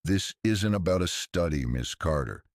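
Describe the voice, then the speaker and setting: A man voicing an earnest, guarded researcher, a woman in her mid-fifties, speaking quietly and seriously in an audio drama. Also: low voice, animated, moderate pace, no echo, studio quality. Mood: urgent, confiding.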